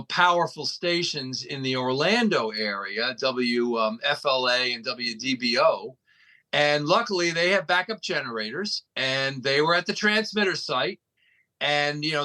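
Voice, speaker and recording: A man's voice: fairly high pitch, bright, forceful tone. A man speaking as an interview guest, explaining things in an emphatic, folksy storytelling way. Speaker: interview guest, radio station operator. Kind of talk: conversational explaining.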